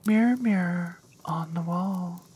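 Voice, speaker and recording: A woman's voice: fairly low pitch, slow, dark tone, husky, heavily acted. A woman voicing an angry, proud queen in a whisper. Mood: furious.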